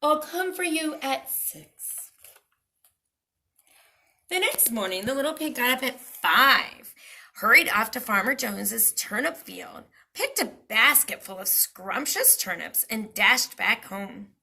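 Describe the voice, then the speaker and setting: A woman's voice: a little high, animated, a little slow, creaky, pushed and bright. A woman reading a children's story aloud with dramatic expression, speaking directly to young listeners.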